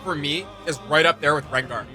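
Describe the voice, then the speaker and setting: A man giving casual, enthusiastic reaction commentary as a video host, in an excitable, slangy and emphatic way. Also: on the high side, fairly quick, soft background music.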